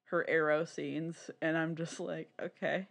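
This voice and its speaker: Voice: mid-range voice, little pitch movement, measured pace, gravelly, a bit bright. A podcast co-host chatting casually in a rambling, candid, giggly way.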